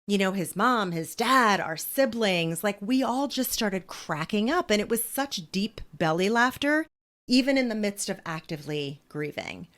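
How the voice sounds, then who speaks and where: A woman in her forties speaks as an interview guest, reflective and measured. Her voice sits at an ordinary middle pitch, and she laughs easily between thoughts. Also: lively intonation, fairly bright tone. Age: 40s. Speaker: author and guest.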